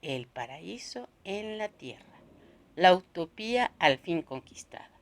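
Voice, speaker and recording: A woman narrating a literary novel aloud, expressively, in measured phrases with pauses. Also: lowish voice, sing-song.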